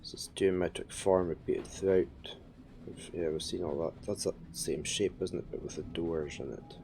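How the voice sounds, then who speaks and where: A man streaming a puzzle game, chatting and thinking aloud, his voice croaky from a head cold. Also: low voice, steady tone, normal speed, warm tone, a little hiss or hum. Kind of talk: casual live-stream commentary.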